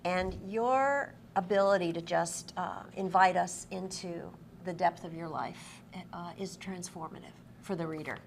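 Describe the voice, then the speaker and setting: Low-voiced woman interviewing amid clear noise. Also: a little slow, few pauses. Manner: effusive, affirming. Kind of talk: on-stage interview with read-aloud book passages. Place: busy convention event room. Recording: clearly noisy live event room recording.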